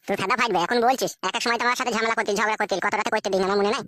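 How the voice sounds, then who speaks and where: A performer voicing an exasperated, crude village husband in a comedy cartoon, a pitched-up, sped-up voice and a blustering, coaxing manner. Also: steady tone, rapid-fire, fairly bright tone, no room sound, studio quality. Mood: complaining, coaxing. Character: exasperated, crude village husband caught between two wives.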